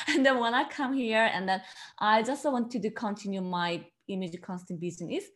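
A woman from South Korea speaks as a podcast guest with a Korean accent. She tells her own story earnestly, in halting second-language phrasing. She often repeats words as she works toward her point. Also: medium pitch, a bit bright. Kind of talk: personal storytelling in an interview.

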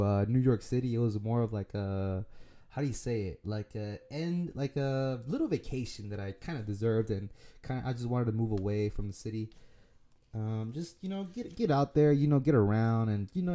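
A man with a raspy, allergy-worn voice talks through his solo podcast episode, the tone very dark and mellow. He rambles casually, circling back on himself with lots of fillers and restarts. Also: medium pitch. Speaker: podcast host.

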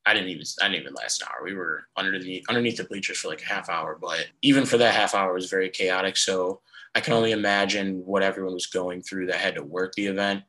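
A young man hosting a casual sports podcast, hoarse from losing his voice, rambling with lots of fillers. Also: low voice, fast, bright, forceful tone, rough recording. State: hoarse, lost voice. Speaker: podcast host.

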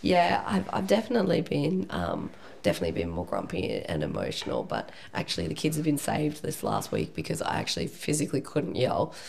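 A woman, her voice croaky from coughing, chatting casually as a co-host with lots of fillers and laughs. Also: rumbling low, wildly swooping pitch, fairly quick, few pauses, a little mellow. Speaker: podcast co-host. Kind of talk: casual chat.

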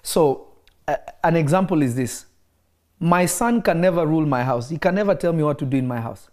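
A man's voice: on the high side, animated, brisk, a little mellow. Emphatic man preaching in conversation. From Kenya. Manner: emphatic, repeats key phrases.

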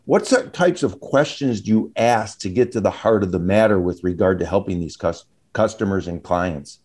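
A man's voice: sits low, animated, moderate pace, darkish tone. A man hosting an interview show, asking long rambling questions and praising his guest enthusiastically.

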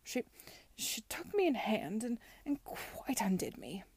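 A bitter, evasive young gentleman from a period storybook, voiced by a woman. The voice is soft-edged and husky, close and dry. He speaks haltingly and defensively, as if each admission is grudged. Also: on the high side, sing-song, no room sound, exaggerated voice. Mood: ashamed. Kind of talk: audiobook character dialogue.

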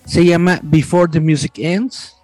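A man's voice: on the high side, steady tone, measured pace, darkish tone, no room sound, faint music behind. A man interviewing a musician, asking long rambling questions in a casual way. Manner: chatty, rambling questions with restarts.

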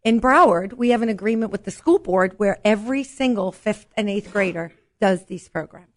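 A woman's voice: mid-range voice, lively intonation, normal speed. A woman from Brooklyn with a New York accent, a guest on a talk show, explaining her nonprofit in an upbeat way and often restarting her sentences. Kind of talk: casual chat.

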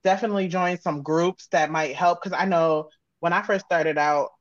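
A woman's voice: lowish voice, steady tone, moderate pace, no echo, dull audio. A woman with an American accent chatting casually as an interview guest, talking informally in loose, run-on thoughts. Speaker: guest, audio drama creator and sound designer.

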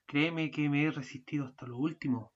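A woman acting an exasperated, fussy husband in an amateur radio play, bickering and at times shouting. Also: low-pitched, fairly even intonation, brisk. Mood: earnest. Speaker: student radio drama actor. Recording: muffled lo-fi recording.

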